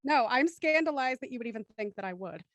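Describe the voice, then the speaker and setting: A woman's voice: high voice, lively intonation, fast, dry recording. A woman hosting a casual panel, bantering in a playful, teasing way. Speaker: host introducing cast.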